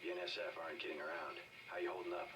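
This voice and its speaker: Man playing a very deep, stoic game agent. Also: very fast, recorded from a distance, over music, lightly acted. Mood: calm. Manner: deadpan, clipped. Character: stoic, terse male government agent. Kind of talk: video game dialogue.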